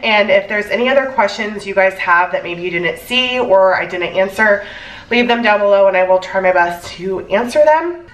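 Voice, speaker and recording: A woman's voice: mid-range voice, expressive, moderate pace, a bit bright. Congested young woman, echoey room. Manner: chatty, tired, filler-heavy. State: congested, stuffy. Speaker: elementary school teacher. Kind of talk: casual vlog chat. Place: home. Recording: echoey room, mic at a distance.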